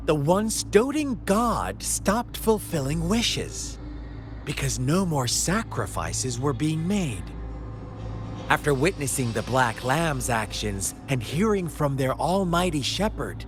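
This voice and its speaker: An actor playing a mocking male villain who tells a dark parable in a game, theatrical and taunting. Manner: theatrical, slow and taunting, wild pitch swings. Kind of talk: game cutscene voice acting. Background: game score music.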